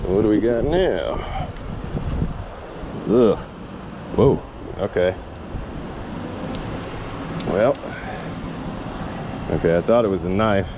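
A man's voice: fairly low pitch, expressive, takes it slowly, pauses often, dull audio. A man doing casual outdoor vlog commentary, talking to viewers and to himself as he works, recorded on a chest-mounted action camera. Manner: talks to viewers and himself while working. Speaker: magnet fishing vlogger. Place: outdoors on concrete by water under a road bridge. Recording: noisy outdoor action-camera audio with ambience.

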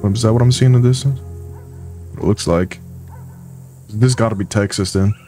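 Chill guy doing let's-play commentary, mellow low voice, music in the back. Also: moderate pace. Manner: laid-back, joking, thinking aloud. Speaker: gaming youtuber.

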